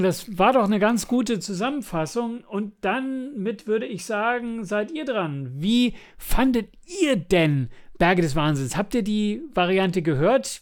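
A man co-hosting a podcast in casual review chat, chatty and enthusiastic when recommending things. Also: high voice. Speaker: podcast co-host.

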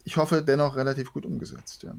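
A man answering casually as an interview guest, in a measured, reflective way. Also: medium pitch, level tone, vocal fry.

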